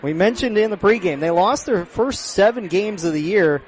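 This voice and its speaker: A man's voice: high-pitched, animated, moderate pace, soft background music. A man doing live play-by-play commentary of a football game as a radio announcer, close on the mic at an outdoor stadium. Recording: clear outdoor stadium background noise.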